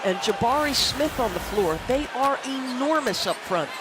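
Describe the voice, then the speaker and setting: A man's voice: extremely high pitch, lively intonation, normal speed. A man giving live analysis as a basketball commentator. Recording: noisy arena broadcast with crowd. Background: crowd and arena noise.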